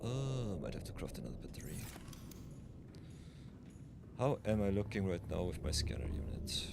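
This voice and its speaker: A man's voice: low-pitched, sing-song, normal speed, creaky, noisy background. A man from Austria, streaming a game live, muttering his plans to himself and chatting with viewers. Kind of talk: casual live-stream commentary.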